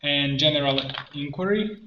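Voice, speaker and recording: A man presenting an informal screen-share tutorial, thinking aloud step by step in halting phrases. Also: a little high. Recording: roomy echo, muffled band, background noise. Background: keyboard typing and mouse clicks.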